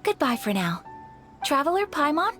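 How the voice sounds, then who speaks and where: A woman voicing a gentle, dutiful young noblewoman in a video game, speaking in a polite, formal and measured way. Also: sits high, big pitch swings, faint music behind, exaggerated voice. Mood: gracious. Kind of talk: video game character acting.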